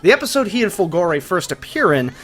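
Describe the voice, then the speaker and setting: A man's voice: high-pitched, sing-song, fairly quick, fairly bright tone, husky. A man narrating a scripted video essay as its host, in a casual, conversational way with colloquial asides. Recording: music behind the voice. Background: music.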